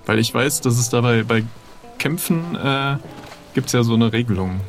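Hesitant gravelly man playing an adventure game. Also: mid-range voice, animated, normal speed, faint music behind. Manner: hesitant, thinking aloud. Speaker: player in an improvised role-playing game. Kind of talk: casual improvised in-character dialogue.

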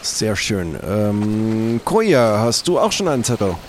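A man's voice: lowish voice, sing-song, slow pace. A man leading an improvised audio-play scene with casual, dry banter. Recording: faint background noise.

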